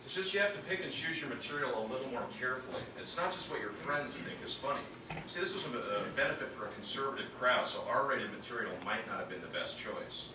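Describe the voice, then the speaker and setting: A man's voice: mid-range voice, expressive, quick pace, lightly acted. A man playing a frank, encouraging comedy mentor, matter-of-fact and instructive, in a conversational staged reading. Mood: frank, reassuring. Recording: echoey, noisy live room, distant mic.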